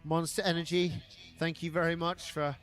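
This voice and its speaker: A high-voiced man calls a live drift event with bursts of excitement and silly asides. Loud music plays behind him the whole time. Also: moderate pace. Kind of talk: live motorsport commentary.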